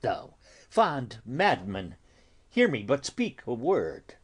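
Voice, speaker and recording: Shakespeare audio drama: exasperated holy friar played by a man, breathy husky voice, drawn-out deliberate phrasing, highly varied intonation. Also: wildly swooping pitch, exaggerated voice. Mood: firm counsel. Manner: slow, deliberate, dramatic, dropping into hushed breaths. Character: stern, exasperated male friar counselling a youth. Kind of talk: classical stage tragedy in audio.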